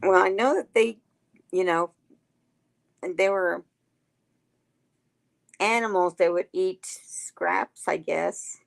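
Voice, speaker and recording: A woman chats with her viewers in a slow, unhurried way. Her voice has a gravelly, creaky edge, and it is turning hoarse. Her manner is folksy and friendly. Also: on the low side. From Texas. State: getting hoarse. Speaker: livestream host. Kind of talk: casual chat reading viewer comments.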